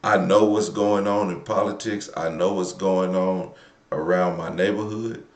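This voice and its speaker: A man with a deep voice and an American accent hosts a live call-in show. He speaks loosely and colloquially, circling back over his phrases as he thinks aloud. The room around him adds a clear echo. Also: steady tone, measured pace. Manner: colloquial, slangy, repeats himself while thinking aloud. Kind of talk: casual, off-the-cuff live talk. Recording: roomy, echoing room sound.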